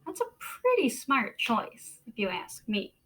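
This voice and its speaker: A woman teacher reading aloud to children expressively, with deliberate dramatic pauses and questions for her young listeners. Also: fairly high pitch, huge pitch swings, a bit bright.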